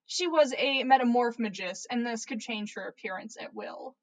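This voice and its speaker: A woman's voice: fairly high pitch, normal speed. A woman reading fan fiction aloud on a livestream in a playful, theatrical way. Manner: theatrical, playful, stumbles over words and swears. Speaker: podcast host reading listener fan fiction. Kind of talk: animated story reading on a livestream.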